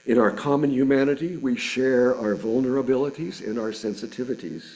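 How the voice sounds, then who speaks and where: A man reading a written sermon at a church service, in measured, deliberate phrases with pauses. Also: medium pitch, darkish tone, lo-fi sound. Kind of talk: sermon read from a written script.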